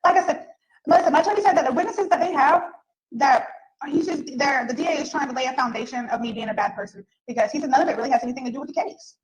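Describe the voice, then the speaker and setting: A woman's voice: sits high, fast, roomy sound, low-quality recording. A woman speaking casually and personally on a jail video call, rambling and repeating herself as she talks. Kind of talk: casual personal phone conversation.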